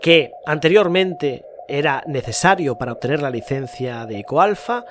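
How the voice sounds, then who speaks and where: A man's voice: mid-range voice, slight background noise. A man with an accent from Spain hosting a podcast, explaining things point by point in a didactic way. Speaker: podcast host. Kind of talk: podcast explainer on amateur radio.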